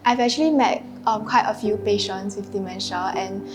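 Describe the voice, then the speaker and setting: A woman's voice: mid-range voice, normal speed. A woman of nineteen with a Singaporean accent, chatting with many "um"s and "like"s. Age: late teens. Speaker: university student. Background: guitar music.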